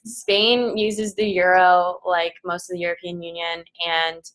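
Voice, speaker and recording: A woman's voice: on the low side, little pitch movement, a bit bright, low-quality recording. A nineteen-year-old woman answering questions on a student panel, chatty and upbeat. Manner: chatty, upbeat, quick asides. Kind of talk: casual Q&A answers.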